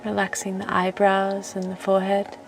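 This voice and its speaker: A woman leading a guided relaxation meditation in a soft, gentle, soothing voice that turns breathy at times, with unhurried phrases. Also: mid-range voice, monotone. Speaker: meditation teacher and host. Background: music.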